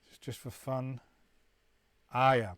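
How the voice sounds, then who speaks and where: A man's voice: mid-range voice, flat delivery, slow, a bit bright, clear, resonant tone, no echo. A middle-aged man from the UK, chatting casually to viewers on a live stream, rambling and restarting often. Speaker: musician hosting a live stream.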